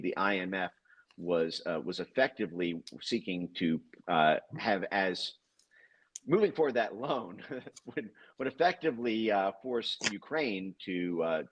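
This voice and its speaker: A man giving political commentary as a guest in a conversation, halting and long-winded, often repeating words as he goes. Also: lowish voice.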